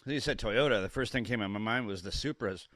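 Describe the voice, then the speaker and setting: A young man with a cold, sniffly and coughing, chatting casually and excitedly on a live stream, rambling and stumbling over his words. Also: on the low side, brisk, no echo, clean studio sound. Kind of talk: casual live-stream watch-along chat.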